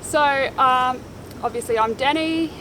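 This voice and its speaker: A woman's voice: sits high, unhurried, noisy background. A woman in her thirties from New Zealand with a Kiwi accent, chatting casually about her sailing life with many ums. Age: 30s. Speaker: sailing vlogger. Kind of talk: casual chat. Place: outdoors on a boat in Panama.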